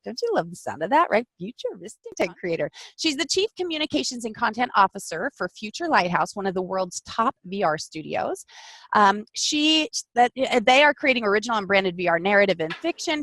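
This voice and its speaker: A woman's voice: fairly high pitch, fairly bright tone, no echo. A woman hosting a live-streamed podcast interview, bubbly and gushing, often laughing. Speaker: podcast host.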